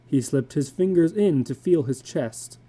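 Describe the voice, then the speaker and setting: Male narrator, very mellow dark tone, slow pace, measured phrasing, dramatic literary reading. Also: a little high, animated, breathy edge.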